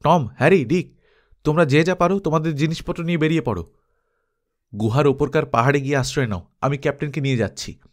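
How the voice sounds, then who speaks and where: A man's voice: a little high, fast talker, voice pushed up, natural voice. A man voicing a commanding adventurer who gives urgent orders to his group in an audiobook story.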